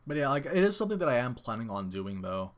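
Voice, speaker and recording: A man chatting casually to viewers on a live game stream, excitable and rambling, with many restarts. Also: medium pitch, fast, soft background music. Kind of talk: casual live-stream chat while playing.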